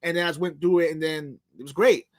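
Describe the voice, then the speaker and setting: A man's voice: a little high, steady tone, fast talker. A man in his late twenties telling sports-fan stories in casual chat, earnest and repetitive. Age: 20s. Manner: earnest, repeats himself. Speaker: podcast co-host.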